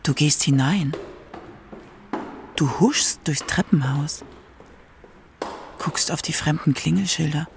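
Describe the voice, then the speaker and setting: A woman narrating a scripted literary radio feature with measured, dramatic emphasis. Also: deep voice, vocal fry, noisy background.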